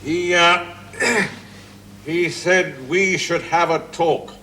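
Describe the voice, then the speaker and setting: A man's voice: fairly high pitch, very slow, bright, forceful tone, full character voice. A man acting in a 1970s television drama as an awkward, earnest inventor, hesitant but insistent. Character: earnest, awkward, pleading male engineer and inventor. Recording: noisy 1970s television soundtrack.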